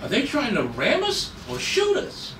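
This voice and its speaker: Man playing an eager, high-voiced space co-pilot. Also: huge pitch swings, a little slow, heavily acted. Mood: eager, tense. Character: eager young male space-ranger co-pilot, obedient and plucky. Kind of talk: table read of retro sci-fi serial. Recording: roomy, distant mic with loud noise.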